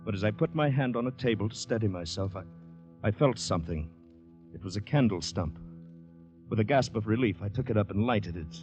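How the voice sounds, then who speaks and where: An actor playing a distraught man haunted by a murder, telling it haltingly in dramatic 1940s radio suspense style. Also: lowish voice, expressive, a little mellow, natural voice. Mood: tense, haunted. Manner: halting, dramatic first-person narration. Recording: 1940s radio broadcast, faint music behind.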